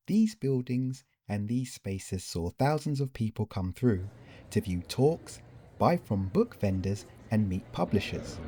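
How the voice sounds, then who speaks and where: A man narrating a documentary in a measured, scripted way. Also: lowish voice, dark tone, breathy edge. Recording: faint background noise.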